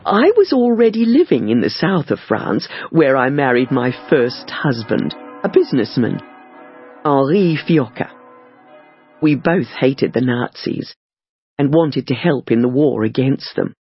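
Slow, expressive male audiobook reader. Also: medium pitch, soft background music. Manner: slow, careful, clearly paced reading. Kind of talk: scripted first-person narration for learners. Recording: dull lo-fi audiobook recording.

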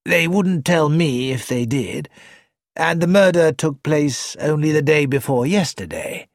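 Reader doing a reserved older lawyer, slow, quiet, dry sound. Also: medium pitch, dry recording, lightly acted. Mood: measured. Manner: measured, quiet.

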